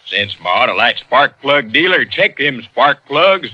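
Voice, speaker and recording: An actor playing a folksy, cheerful cowboy sheriff with an American Western accent in a 1950s radio commercial. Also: male voice, medium pitch, big pitch swings, unhurried, husky. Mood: happy boasting. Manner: drawling, full of cowboy sayings. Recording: dull vintage radio recording.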